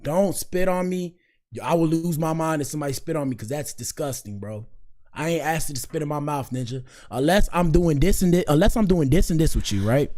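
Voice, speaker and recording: A man's voice: a little high, fairly quick, dark tone. A man hosting a livestream with casual, slangy reaction commentary, swearing and repeating himself for emphasis. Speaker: livestream show host.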